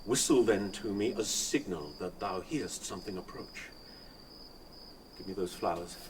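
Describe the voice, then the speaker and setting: A man plays a proud, mournful young British nobleman in a radio tragedy. His voice is fairly low and he declaims formal verse, with faint noise behind him. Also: normal speed, natural voice. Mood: mournful, tender. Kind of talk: Shakespearean radio drama acting.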